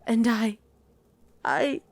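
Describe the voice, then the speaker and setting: A woman voicing a guarded demon who was once a human mother, in an intimate ASMR roleplay with halting, emotional delivery. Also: fairly high pitch, sing-song, dry recording, heavily acted. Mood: bitter, breaking down. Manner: halting, emotional, speaks directly to one listener.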